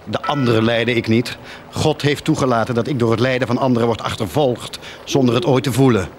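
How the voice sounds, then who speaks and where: An actor playing an anguished, guilt-ridden parish priest in a radio drama, solemn, halting and tormented. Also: male voice, medium pitch, fairly even intonation, a little hiss or hum, natural voice. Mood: bitter, defiant.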